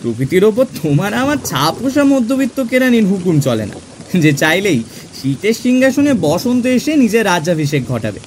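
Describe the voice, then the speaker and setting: A man playing a genial middle-class clerk who narrates his own tale in an expressive, theatrical audio drama style. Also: high voice, moderate pace, a little hiss or hum. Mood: genial, teasing. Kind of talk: dramatised first-person audio story narration. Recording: music under the voice.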